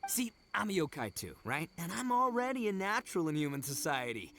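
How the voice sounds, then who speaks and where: A man's voice: on the high side, big pitch swings, brisk, fairly bright tone, slight background noise. A man voicing a brash, boastful young oni with a big heart in a video game, talking in brotherly slang. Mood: friendly, boastful.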